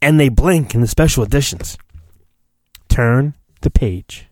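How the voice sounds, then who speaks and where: A man's voice: medium pitch, a little slow, a little mellow, no echo, clean studio sound. A man hosting solo, reading a storybook in mock-dramatic fashion with rambling, sarcastic asides. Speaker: solo podcast host.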